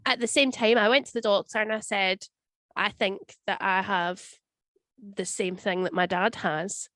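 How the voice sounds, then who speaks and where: A Scottish woman in her thirties whose voice is husky from a cold. She talks in a chatty, wry way and acts out the people in her stories. The sound is dry and close, with no room around it. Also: medium pitch, fairly even intonation, unhurried, fairly bright tone, dry recording. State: husky voice from a cold, sniffling and coughing. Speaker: guest. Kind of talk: casual interview answers. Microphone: video call.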